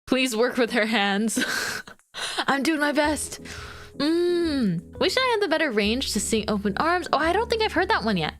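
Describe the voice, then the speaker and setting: A woman's voice: sits high, sing-song, a little slow, fairly bright tone, faint music behind. A young woman streamer chatting casually with her viewers as she draws, rambling with fillers and frequent giggles. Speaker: streamer chatting with chat while drawing. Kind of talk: casual live-stream chat.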